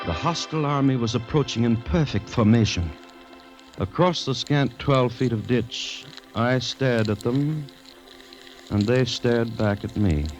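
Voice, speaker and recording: A man acting a sober, alarmed witness to a deadly menace, narrating gravely and deliberately in 1940s American radio drama style. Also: mid-range voice, lightly acted, low-quality recording. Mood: awed, ominous. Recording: old radio recording with music.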